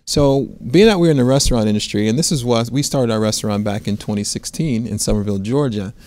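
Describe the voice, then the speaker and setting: A man's voice: medium pitch, quick pace, darkish tone. A middle-aged American man telling his story as an interview guest, earnest, often rephrasing as he goes. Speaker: interview guest, restaurant owner. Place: quiet indoor studio set with armchairs. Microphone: lapel mic.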